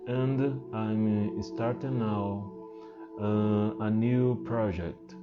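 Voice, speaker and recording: A man whose first language is Portuguese, speaking English with a Portuguese accent as a spiritual teacher, halting and hesitant with many fillers and restarts. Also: on the low side, steady tone. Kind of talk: unscripted spiritual talk to camera. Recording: muffled, with singing bowl music behind.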